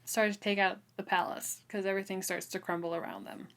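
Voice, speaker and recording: A woman's voice: mid-range voice, level tone, fairly quick. A woman co-hosting a podcast, talking over a book informally with loose, trailing phrasing. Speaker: podcast co-host. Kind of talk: casual book discussion chat.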